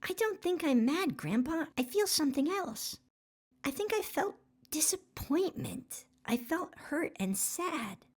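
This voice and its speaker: A woman as little storybook boy, high voice, slow careful delivery, earnest and polite. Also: very animated, a little mellow. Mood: hurt, sad.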